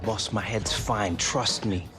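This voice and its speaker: A man's voice: fairly low pitch, slow. A man playing an earnest, defensive young footballer in a video game cutscene. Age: young adult. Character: earnest young male footballer under pressure.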